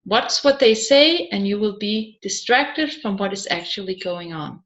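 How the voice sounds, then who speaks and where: A woman reading a scripted audiobook in a measured, deliberate way, phrase by phrase. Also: mid-range voice, animated, fairly bright tone, highs cut off. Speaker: audiobook narrator.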